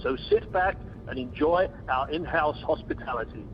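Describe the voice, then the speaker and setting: A man's voice: fairly high pitch, moderate pace, natural voice. Man playing a bumbling airline captain, muffled line. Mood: breezy, bumbling. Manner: pompous, bumbling announcer patter. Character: breezy, self-important, bumbling male airline captain. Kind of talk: amateur radio comedy acting. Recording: muffled conference-call line with noise.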